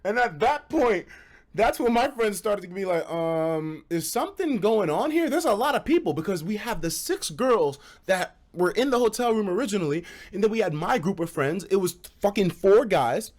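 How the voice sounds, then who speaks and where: A man streaming casual, animated stories to a live chat, with slangy, profane phrasing and dramatic emphasis. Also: high-pitched, normal speed. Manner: profane, slangy, acts out quoted dialogue; dramatic emphasis and repetition for suspense.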